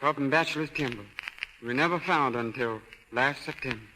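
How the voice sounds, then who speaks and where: Devoted American country son male actor: bright mid-range voice, earnest, careful, soothing, 1930s radio broadcast. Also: medium pitch, brisk, a little hiss or hum, lightly acted, low-quality recording. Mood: soothing, reluctant. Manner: plain-spoken, earnest. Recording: old 1930s radio broadcast, faint music.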